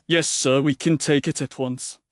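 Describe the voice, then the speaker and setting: A man acts an anxious young clerk in a period storybook mystery. The voice is lifted in pitch, deferential and obliging, with a nervous manner. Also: steady tone, moderate pace, no echo, lightly acted.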